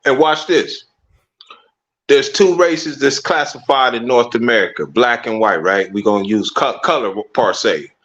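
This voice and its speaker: A man's voice: mid-range voice, a little slow, fairly bright tone, muffled recording. A man talking in a casual discussion, making his points with rhetorical questions and asking listeners to "watch this.". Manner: emphatic, rhetorical, repeats "watch this". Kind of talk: casual conversation.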